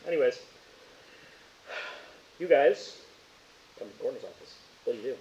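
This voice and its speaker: A man speaking casually as a game master, narrating haltingly and often searching for words. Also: medium pitch, expressive, dark tone. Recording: roomy echo, dull lo-fi sound, faint hiss.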